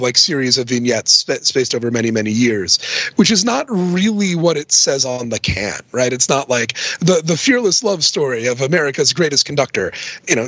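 A man's voice: mid-range voice, fairly bright tone, husky, no echo. A man speaking as a podcast guest expert, explaining things casually on a slightly muffled recording.

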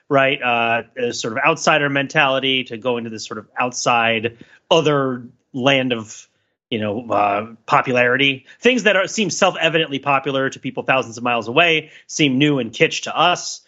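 A man's voice: mid-range voice, sharp tone, muffled recording. A man talking casually as a podcast co-host, discursive and jokey, wandering through asides.

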